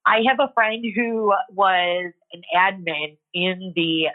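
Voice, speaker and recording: Frank low-voiced woman on a phone line. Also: slow pace. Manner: frank, tells it like it is. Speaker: patient sharing her story. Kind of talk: personal testimony, reflective. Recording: muffled phone-line audio.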